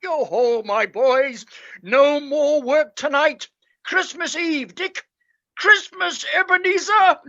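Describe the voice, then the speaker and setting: A woman acting a jolly, hearty old gentleman employer in a radio play, hallooing and exclaiming exuberantly. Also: high voice, takes it slowly, lo-fi sound, heavily acted. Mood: jovial, rallying.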